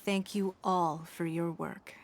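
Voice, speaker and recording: A woman voicing an elegant, composed business magnate in a video game, speaking in a formal, measured way. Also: fairly low pitch, fairly even intonation, a little hiss or hum. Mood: gracious, assured.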